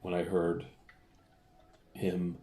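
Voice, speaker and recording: A man's voice: deep voice, monotone, drawn-out words, mellow, faint music behind. A middle-aged man, a father chatting casually and teasing his daughter. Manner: jokey, dry teasing. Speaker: father and podcast guest. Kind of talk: casual chat.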